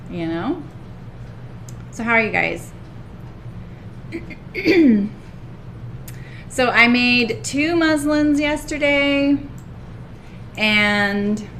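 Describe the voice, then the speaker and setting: A woman's voice: medium pitch, a bit bright. A woman hosting a sewing livestream, chatting casually and greeting viewers by name, her voice a little scratchy. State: slightly scratchy voice.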